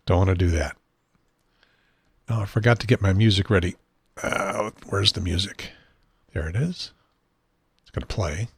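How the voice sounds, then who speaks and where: A man hosting his own talk show from a home studio desk, rambling and self-deprecating, with a husky, unsteady voice and frequent throat clearing. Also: deep voice, moderate pace, long pauses. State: has a probe in his nose. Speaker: podcast host.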